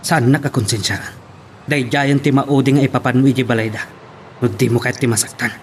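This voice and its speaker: A man's voice: mid-range voice, steady tone, a little fast, a little hiss or hum, lightly acted. A man acting an angry, threatening, controlling lover who fires demanding questions in an Ilocano radio drama. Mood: accusing anger.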